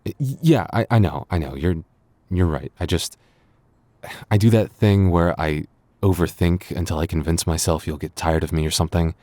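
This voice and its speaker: Guy playing a shy lovestruck young dude, low voice, stammers a lot, bf asmr roleplay, really dry close sound. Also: normal speed, warm tone, dry recording. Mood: wistful, anxious. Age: young adult. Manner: stammering, bashful. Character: flustered, lovestruck young man, friend's younger brother. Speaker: voice actor. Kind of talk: boyfriend ASMR audio roleplay.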